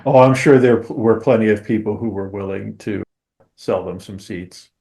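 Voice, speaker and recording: A man's voice: lowish voice, moderate pace, vocal fry, mellow. A man co-hosting a podcast, chatting casually with dry, wry, brief replies. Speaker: podcast co-host. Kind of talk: casual chat.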